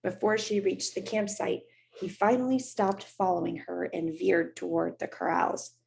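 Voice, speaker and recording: A woman teacher reading a children's novel aloud in a steady, unhurried voice. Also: fairly low pitch, creaky, rough recording. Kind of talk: read-aloud storybook narration.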